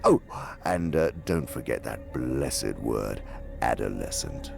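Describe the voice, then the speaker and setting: A man's voice: a bass voice, lively intonation, creaky, music in the background, full character voice. An actor playing a pompous, sly senior demon mentor in an audio drama, savoring his words theatrically. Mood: smug, instructing. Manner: pompous, relishing, theatrical delivery with drawn-out words.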